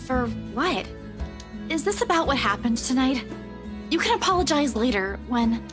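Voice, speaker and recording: Young female lead, visual novel: high, expressive voice, flustered, caring, quick to scold, music underneath. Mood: puzzled. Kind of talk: visual novel game dialogue.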